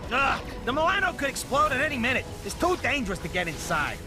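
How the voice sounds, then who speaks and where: A man's voice: extremely high pitch, very animated, fast. A man playing a cocky, wisecracking little raccoon mercenary in a video game, brash, sarcastic and streetwise. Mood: wary. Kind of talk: animated video game character acting. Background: music.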